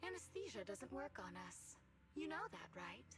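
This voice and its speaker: A woman playing a brooding, obsessive wounded soldier villain in a video game, speaking in slow, deliberate, dramatic monologue. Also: sits high, very animated. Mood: bitter, pained. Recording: music playing behind the voice.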